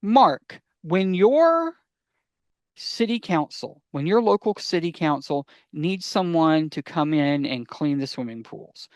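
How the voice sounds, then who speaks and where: A man's voice: a little high, animated, slow, no room sound. A man co-presenting a podcast in casual explanatory chat, wry and rambling, repeating words for emphasis.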